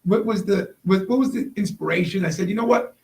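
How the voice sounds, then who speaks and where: A man hosting a casual interview, halting and repeating phrases as he forms his questions. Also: sits high, a little mellow, slight background noise. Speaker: interviewer, host.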